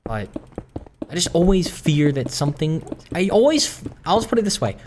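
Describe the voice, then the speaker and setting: A man's voice: on the high side, sing-song, moderate pace, darkish tone, a little hiss or hum. A man rambling introspectively in a solo gaming commentary, hesitant, often repeating and correcting himself. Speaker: gaming video creator doing commentary. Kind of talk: rambling, introspective solo chat over gameplay.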